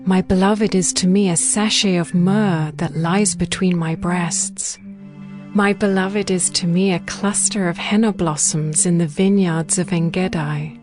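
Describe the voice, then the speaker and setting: An actress playing a tender, devoted young bride in a dramatized scripture reading, with measured, poetic delivery. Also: female voice, on the low side, steady tone, over music, natural voice. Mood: tender, adoring.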